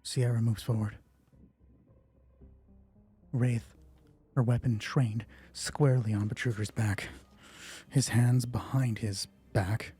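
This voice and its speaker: A game master running a solo tabletop roleplay, thinking aloud and narrating in a sweary, excitable way. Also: mid-range voice, slow pace, long pauses, husky, soft background music.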